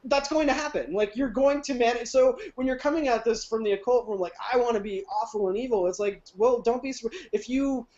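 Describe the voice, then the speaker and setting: A man's voice: extremely high pitch, brisk, few pauses, darkish tone. A man from Ohio, a podcast guest talking in loose casual chat, rambling with many restarts and easy laughs. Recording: dull lo-fi podcast audio.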